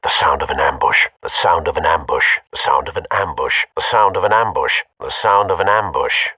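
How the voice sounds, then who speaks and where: An actor playing a loyal older butler with a British accent in a video game, formal and dryly understated. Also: male voice, very deep voice, moderate pace, pushed and bright, breathy edge, no echo, recorded from a distance, exaggerated voice. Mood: cautious. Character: refined, loyal, concerned older butler man.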